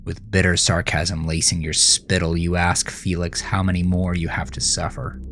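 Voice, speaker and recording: A man narrating a horror story with a grave, deliberate, suspenseful delivery. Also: deep voice, level tone, a bit bright, a little hiss or hum. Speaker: author and narrator of horror podcast. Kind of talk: dramatic second-person horror story narration.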